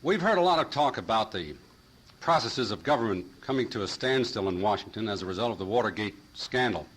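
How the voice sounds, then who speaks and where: A man's voice: mid-range voice, a bit bright. A man poses questions to a speaker as an audience member at a public forum. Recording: faintly noisy 1970s live recording.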